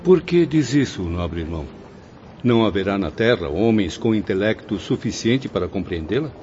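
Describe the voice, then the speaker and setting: A man's voice: fairly low pitch, animated, normal speed, darkish tone, natural voice. An actor playing a noble, learned professor in a radio drama, formal and courteous in his questioning, with a Brazilian accent. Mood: earnest, inquiring. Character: noble learned professor, courteous, inquiring pupil of spiritual masters. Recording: dull lo-fi audio with background noise.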